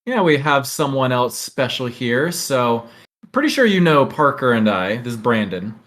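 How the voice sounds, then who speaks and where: A man's voice: mid-range voice, very animated, normal speed, fairly bright tone. A man co-hosting a podcast in a casual, conversational style. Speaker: podcast co-host. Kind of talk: casual chat.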